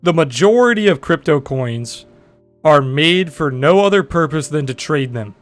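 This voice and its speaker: A young man in his twenties with a deep voice, chatting bluntly and profanely with viewers on a trading livestream. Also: animated, normal speed, faint music behind. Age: 20s. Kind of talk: casual livestream chat answering viewers.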